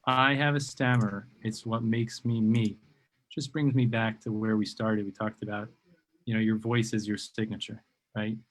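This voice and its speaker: A man's voice: fairly low pitch, flat delivery, moderate pace, poor audio. A man hosting a live-stream interview, enthusiastic and rambling, with many ums and uhs. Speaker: host of a live video interview show.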